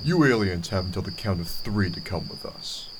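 Radio drama G-man, played by a man: skeptical, authoritative, low, heard over clear background noise. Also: animated, moderate pace. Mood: menacing. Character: stern, skeptical male government agent. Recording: noisy, with cricket-like background.

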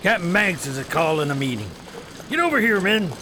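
A man's voice: sits high, sing-song, fairly quick. Man as a wry pirate quartermaster, very noisy background. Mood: rallying. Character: hardened pirate quartermaster, wry and rough-mannered. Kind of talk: radio drama acting.

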